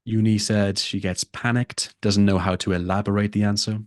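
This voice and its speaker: A man's voice: fairly low pitch, level tone, moderate pace, no room sound, studio quality. A man who tutors for a test, teaching a live online lesson and repeating his key points for emphasis.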